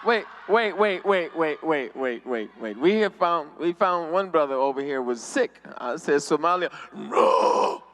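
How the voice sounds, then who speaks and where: A man's voice: on the high side, animated, moderate pace, few pauses, fairly bright tone, a little hiss or hum. A man doing stand-up at a convention, teasing the crowd and laughing often. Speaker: stand-up comedian. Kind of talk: stand-up comedy. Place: convention hall stage.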